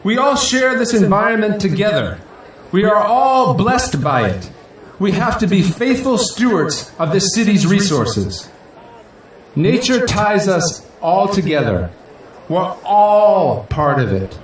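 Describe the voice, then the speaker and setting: A man's voice: sits high, big pitch swings, slow, heavily acted. An actor playing a proud, idealistic male politician in an audio drama, giving a grandiose, earnest victory speech in declamatory rally style. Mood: earnest, hopeful. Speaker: newly elected city council president. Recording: echoey hall, distant mic, crowd noise. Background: crowd and faint noise.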